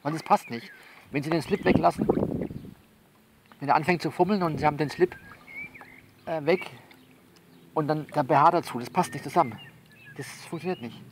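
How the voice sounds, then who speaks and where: A man playing a soothing but bossy confidant who coaches someone by phone in an audio drama, halting and repeating himself as he instructs. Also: a little high, slight background noise, close to an everyday voice. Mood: bossy, coaxing. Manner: halting, repetitive, instructive, reassuring. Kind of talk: one-sided phone conversation in an audio novel.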